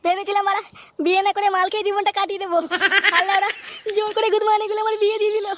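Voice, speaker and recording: A performer voicing a foul-mouthed, grumbling young slacker son in a comedy cartoon, crude and complaining, with a pitched-up effect. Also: little pitch movement, racing, a bit bright, slight background noise. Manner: crude, complaining, swearing constantly. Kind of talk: comedy cartoon voice-over with pitch-shifted voices.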